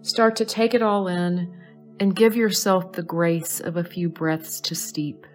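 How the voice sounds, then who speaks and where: A woman with a slightly low voice leads a guided meditation. She speaks in short, soothing, measured phrases with pauses between them, over soft background music. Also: fairly even intonation. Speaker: astrology advisor leading a guided meditation. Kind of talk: slow guided meditation narration.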